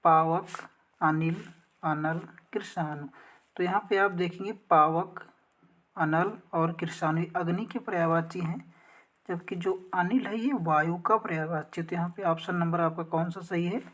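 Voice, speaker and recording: Low-voiced woman teaching an exam lesson. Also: unhurried. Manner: methodical, instructional, reads out questions and options. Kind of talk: exam practice lesson, explaining questions and answers.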